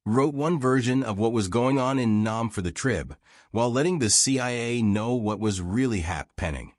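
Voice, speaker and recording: A man's voice: mid-range voice, expressive, moderate pace, no echo, clean studio sound, close to an everyday voice. A man voicing a frank, wry old American war reporter reminiscing in folksy, self-deprecating audiobook dialogue. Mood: matter-of-fact.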